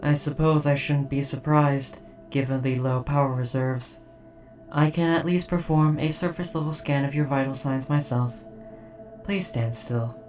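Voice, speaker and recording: A performer voicing a dutiful robot soldier in an audio drama, speaking in a formal, precise, measured and softly hesitant way. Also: medium pitch, fairly even intonation, far from the mic, faint music behind, close to an everyday voice. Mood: gentle, dutiful. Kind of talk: scripted roleplay audio drama.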